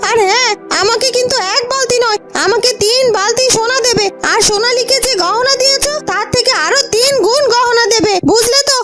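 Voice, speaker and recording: Shrill-high, bossy greedy cartoon girl. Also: fairly quick, over loud music. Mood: bossy, demanding. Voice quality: very high, girlish voice. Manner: demanding, insistent, whiny. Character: greedy, bossy, spiteful young village girl. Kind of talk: children's fairy-tale cartoon dubbing.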